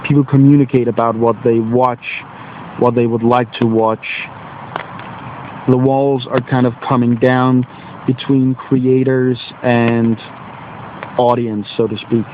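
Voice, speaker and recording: A man's voice: medium pitch, level tone, measured pace, phone-quality sound, a little hiss or hum. A Danish man with a Danish accent and a slight cold, a teacher talking casually outdoors in a rambling, self-deprecating way, searching for English words. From Denmark. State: has a cold. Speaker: teacher and video blogger. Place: outdoors on a wet bench in nature.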